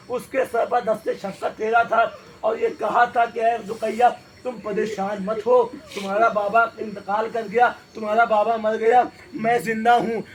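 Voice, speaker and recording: A man's voice: high-pitched, slight background noise, close to an everyday voice. A man voicing a grieving little girl who cries out to her slain father in a sobbing, wailing lament.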